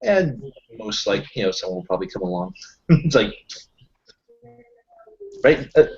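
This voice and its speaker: A man's voice: on the low side, big pitch swings, unhurried, darkish tone. A man in a tabletop roleplaying game, casually improvising narration for his character. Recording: muffled lo-fi voice recording.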